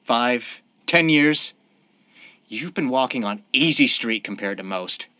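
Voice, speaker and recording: A man's voice: medium pitch, animated, a little slow, bright, forceful tone, no echo. A man playing a desperate young family man turned mob killer in a radio crime drama, earnest and defensive. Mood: desperate, heated. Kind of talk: old-time radio crime drama acting.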